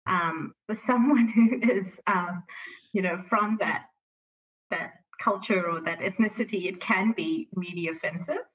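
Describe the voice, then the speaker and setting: A woman from Sri Lanka, a team manager speaking slowly and thoughtfully, pausing often on fillers in a workplace conversation. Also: on the low side, lo-fi sound. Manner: slow and thoughtful, with many fillers.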